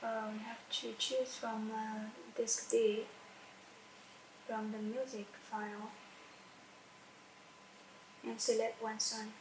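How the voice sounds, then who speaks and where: A woman giving an unscripted step-by-step software demonstration, halting as she searches for words and repeats phrases. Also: fairly high pitch, level tone. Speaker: software tutorial presenter. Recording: echoey room with faint background noise.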